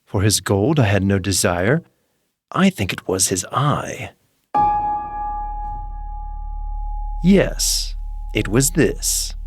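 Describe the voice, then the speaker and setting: A man playing a nervous, obsessive madman confessing to murder in a Gothic horror story, with theatrical, deliberate, emphatic pauses. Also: lowish voice, gravelly, exaggerated voice. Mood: brooding, obsessive.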